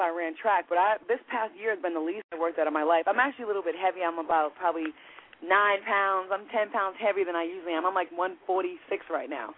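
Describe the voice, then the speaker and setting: A woman in her late thirties, a guest talking casually and frankly, full of "you know" and "like". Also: lowish voice. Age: 30s. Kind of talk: casual interview chat. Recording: tinny phone-line sound.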